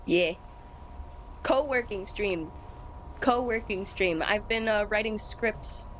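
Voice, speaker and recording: A woman chats casually as the host of a livestream. Her voice sits at a middle pitch, and she talks informally, poking fun at herself and swearing freely. There is some light noise behind her. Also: slow, low-quality recording. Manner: informal, swearing, self-deprecating.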